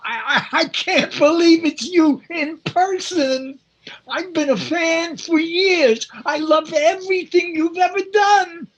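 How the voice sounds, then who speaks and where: A woman's voice: very high-pitched, slow, lo-fi sound. A woman playing a wry, officious police officer in 1940s-style radio comedy. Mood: starstruck.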